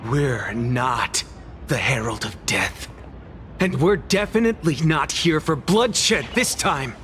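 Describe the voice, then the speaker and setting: An actor playing a bitter, scornful young gang leader in a video game, speaking in a dramatic, declamatory way. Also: male voice, mid-range voice, animated, slow, a bit bright. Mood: defiant. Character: bitter, theatrical young male gang leader with childhood trauma. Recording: game music behind the voice.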